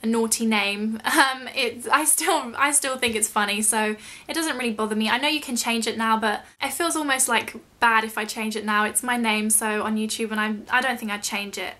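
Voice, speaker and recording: A woman's voice: on the high side, normal speed, few pauses, pushed and bright. A young British woman chatting casually in a question-and-answer vlog, rambling a little and self-deprecating. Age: young adult. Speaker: YouTube vlogger.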